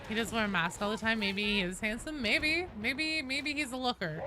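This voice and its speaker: A woman's voice: a little high, normal speed. Woman streamer chatting over game noise. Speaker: live streamer hosting gameplay. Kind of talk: casual chat with viewers while gaming.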